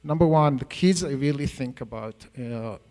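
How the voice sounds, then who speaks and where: A man's voice: mid-range voice, normal speed, mellow, big-room sound. A middle-aged man with an Israeli accent, a Hebrew native speaker, speaking earnestly on an education panel, rambling with many fillers and restarts. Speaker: panelist, math education professor. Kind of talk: panel talk to an audience. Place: school auditorium.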